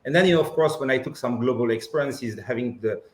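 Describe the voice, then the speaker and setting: A man with a French accent, whose first language is Armenian, speaking reflectively as a guest on a webinar panel, often pausing with "you know". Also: mid-range voice. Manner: reflective, many restarts and "you know" fillers. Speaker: panel guest, business leader. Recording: roomy echo.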